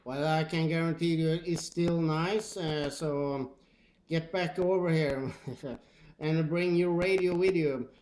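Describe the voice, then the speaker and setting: A Swedish-accented man talks casually as a CB operator, with a halting, hesitant delivery. His voice is a little high and very unhurried, with faint noise behind it. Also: fairly even intonation, darkish tone, low-quality recording. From Sweden. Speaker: CB radio operator. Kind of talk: casual two-way radio chat. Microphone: old radio microphone.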